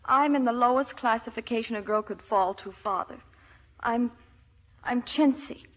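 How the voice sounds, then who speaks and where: Sulky drama-queen teenager woman performer, high-pitched, American, theatrically formal, 1950s radio play. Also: moderate pace, in a large hall, natural voice. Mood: wounded dignity. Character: melodramatic, sulky, self-pitying teenage daughter.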